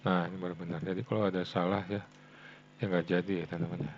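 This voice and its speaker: A man's voice: low voice, little pitch movement, fast. A man thinking aloud through a casual screen-share tutorial, addressing his viewers informally as friends. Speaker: web design tutorial host. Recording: dull lo-fi mic, background hum.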